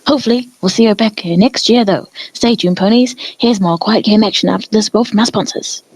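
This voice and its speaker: Woman as a chatty husky pony sportscaster. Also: medium pitch, brisk, darkish tone, lightly acted, low-quality recording. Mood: breezy, announcing. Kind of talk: mock sports commentary.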